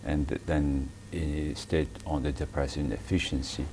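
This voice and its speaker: A man with an extremely deep, low voice asks his questions slowly, pausing and restarting as he feels for the words. A faint hum runs under him. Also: level tone, a little mellow. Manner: halting, hesitant phrasing, frequent back-channel murmurs. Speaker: interviewer host. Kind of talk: interview questions.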